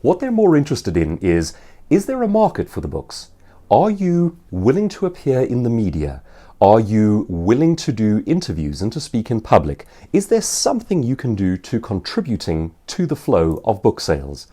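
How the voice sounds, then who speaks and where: Low, animated South African man. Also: moderate pace. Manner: articulate, explanatory, poses rhetorical questions. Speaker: author and professional speaker answering viewer questions. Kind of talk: instructional talk to viewers.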